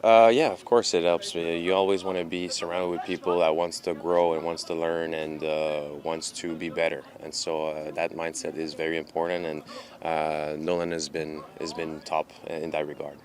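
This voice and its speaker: A French-native man with a French Canadian accent, a soccer goalkeeper answering press questions in a measured, halting way with many filler pauses. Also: rumbling low, flat delivery, crowd noise. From Montreal, Canada.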